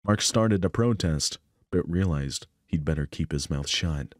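Male narrator for story game read-through, deep voice, dry sound. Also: measured pace, no room sound. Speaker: narrator reading a visual novel aloud. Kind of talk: scripted narration with offhand asides.

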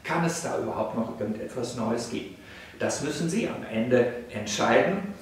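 Older professor in an echoey room. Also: male voice, medium pitch, expressive, normal speed. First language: German. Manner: formal, rhetorical, with frequent hesitations. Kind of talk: freely spoken video lecture. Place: indoor salon room, seated on a sofa. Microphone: camera at a distance. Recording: very echoey room, distant mic.

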